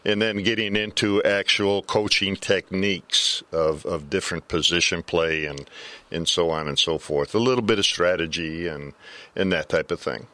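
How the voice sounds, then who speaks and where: An older man talking baseball as a color commentator in a casual panel chat, halting and deliberate, often repeating words. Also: low voice, fairly even intonation, pushed and bright. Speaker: color commentator, former coach. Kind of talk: casual sports panel discussion.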